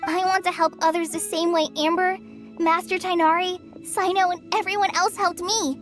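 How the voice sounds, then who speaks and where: A woman voicing an earnest, timid and excitable young girl in a video game. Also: very high-pitched, moderate pace, faint music behind. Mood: earnest, resolute. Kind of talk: English video game voice acting.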